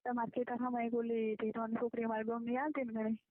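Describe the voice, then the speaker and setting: Higher-voiced Gujarati village woman on the phone. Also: little pitch movement, fast talker, no room sound. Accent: rural Gujarati. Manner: chatty, questioning, recounts conversations in quoted back-and-forth. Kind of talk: casual private phone chat, gossiping. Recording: muffled, band-limited phone call recording.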